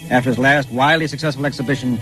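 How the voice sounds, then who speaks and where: An actor playing a pragmatic, reassuring friend in a 1950s radio drama, with a casual, wry, coaxing manner. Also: male voice, medium pitch, steady tone, fast, over music, lightly acted. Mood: matter-of-fact. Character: pragmatic, reassuring male friend of a troubled painter.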